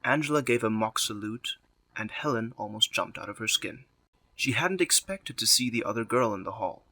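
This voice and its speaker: A man's voice: medium pitch, lively intonation, normal speed, pushed and bright, no echo. A man narrating a scripted drama story as its storyteller.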